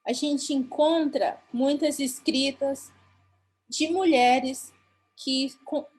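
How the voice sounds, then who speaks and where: A woman from Mato Grosso do Sul, Brazil, with a Brazilian Portuguese accent and Guarani as her first language, giving a formal conference talk. She speaks earnestly and haltingly, often restarting her phrases. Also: high voice, steady tone, darkish tone.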